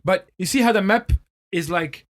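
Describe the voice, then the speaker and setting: Halting Ethiopian-born man, higher voice. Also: a bit bright. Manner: halting, many restarts, explains at length. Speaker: podcast host. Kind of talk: casual podcast chat.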